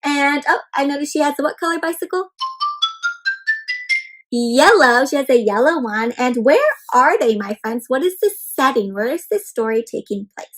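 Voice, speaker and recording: A woman reading a picture book aloud to young children, animatedly addressing them with questions and pauses as she goes. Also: high-pitched, expressive, fairly bright tone, soft background music. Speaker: children's read-aloud storyteller.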